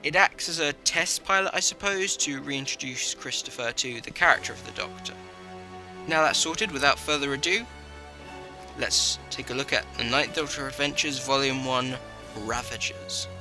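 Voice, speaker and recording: A man's voice: medium pitch, normal speed. A man giving a scripted review as voice-over narration. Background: faint music.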